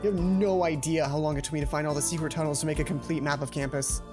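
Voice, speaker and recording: A man's voice: on the high side, fairly even intonation, fast talker, natural voice. Man putting on an eager, cheerful male student. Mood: eager, friendly. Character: cheerful, helpful, eager young male student tour guide. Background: game music.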